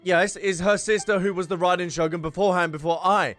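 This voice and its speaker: A man reacting casually and excitedly as he streams a video game, exclaiming as he goes. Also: sits high, a little fast. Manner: animated, exclaiming, chuckling. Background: video game music.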